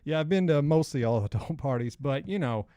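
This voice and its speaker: A man's voice: fairly high pitch, animated, a little fast, mellow, no room sound. Informal man in his forties, dry close sound. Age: 40s. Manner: informal, slangy, rambling. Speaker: podcast co-host. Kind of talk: casual banter and storytelling.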